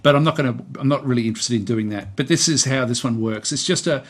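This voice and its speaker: A man giving a casual, unscripted software tutorial and review, rambling and hesitant, often repeating himself as he explains. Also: mid-range voice, fast.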